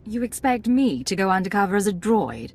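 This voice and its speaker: A secret agent in a video game. She is controlled and confident, with a wry edge. Her pitch is medium for a woman. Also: very animated, a little fast. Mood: cool, questioning.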